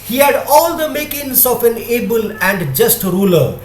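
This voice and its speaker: In a reverberant room with a slight background noise, a man reads a moral tale as storyteller. His voice sits high for a male and swings expressively in pitch. He enunciates carefully, in a measured storybook style. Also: animated. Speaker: storyteller, narrator. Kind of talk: scripted moral story narration. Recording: echoey room with faint noise.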